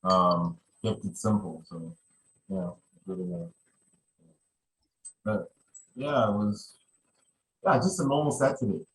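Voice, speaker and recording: A man's voice: deep voice, slow pace, long pauses, a little hiss or hum, poor audio. A man hosting a podcast in casual conversation, rambling and often repeating his phrases. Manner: rambling, repeats phrases, many "you know". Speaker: podcast host.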